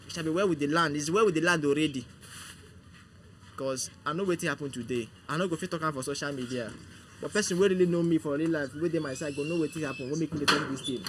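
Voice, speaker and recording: A man speaking in a Nigerian Pidgin accent, quiet and earnest. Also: a little high, fast. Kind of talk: casual talk. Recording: noisy, off-mic.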